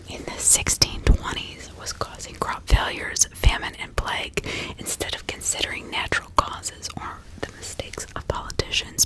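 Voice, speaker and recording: A woman speaking in a breathy, hushed whisper as an ASMR host, reading and role-playing in an informal, conversational way. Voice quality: breathy unvoiced whisper with some crackle.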